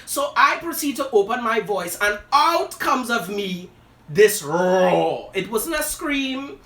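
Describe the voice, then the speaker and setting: A woman's voice: medium pitch, fairly bright tone. Sassy woman storyteller, roomy sound. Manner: dramatic, sassy asides, addresses listeners as "girl" and "darling". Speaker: live show host telling a personal story. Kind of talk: animated personal storytelling. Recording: roomy echo, voice from a distance.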